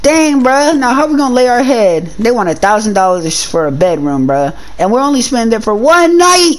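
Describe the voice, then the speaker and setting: A performer playing an excitable teenage demon boy on the run, talking in casual slang in an improvised video-game roleplay. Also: medium pitch, moderate pace, slight background noise, lightly acted. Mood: indignant.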